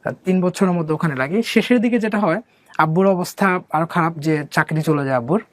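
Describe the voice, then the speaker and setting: A young man from Bangladesh, an interview guest telling his life story softly and hesitantly, with many pauses and fillers. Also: fairly high pitch, no echo. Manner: soft-spoken, hesitant, many fillers and restarts.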